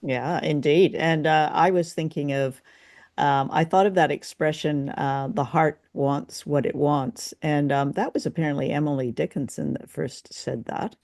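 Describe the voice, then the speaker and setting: Creaky-voiced woman co-hosting a casual chat. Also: deep voice, unhurried, a little mellow, no echo, studio quality. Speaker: podcast co-host.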